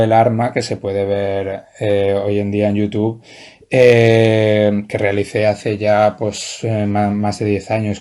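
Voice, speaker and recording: A man's voice: fairly low pitch, dark tone. A man, a writer answering interview questions in a hesitant, thoughtful way with many restarts. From Valencia, Spain. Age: 40s. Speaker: guest writer.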